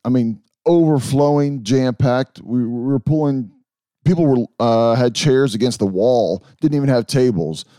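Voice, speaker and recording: Hoarse man with a mellow voice. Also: medium pitch, no echo, studio quality. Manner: rambling, halting, with many restarts and fillers. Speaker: podcast host. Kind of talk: casual sports chat.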